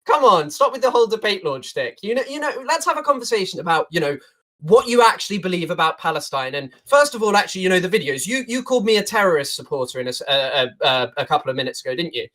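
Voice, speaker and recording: Smug guy arguing on stream, fast talker, kinda high voice, sarcastic. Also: big pitch swings, bright, forceful tone. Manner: smug, sarcastic, talks over the other. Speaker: debate guest, TikToker. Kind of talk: heated online debate.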